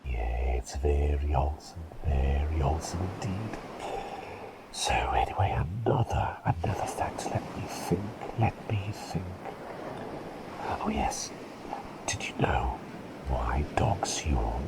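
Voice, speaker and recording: A man's voice: extremely low pitch, slow, poor audio. A man whispering as an eccentric, chummy plague doctor in an ASMR roleplay, rambling and repeating phrases as he talks intimately to the listener.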